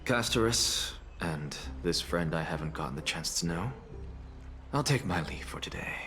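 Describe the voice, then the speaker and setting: A haughty scholar from a fantasy game, with a low, deep voice. He is weary, theatrical and dryly sarcastic, with music behind him. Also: animated, moderate pace. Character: proud, sardonic, weary scholarly man. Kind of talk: video game voice acting.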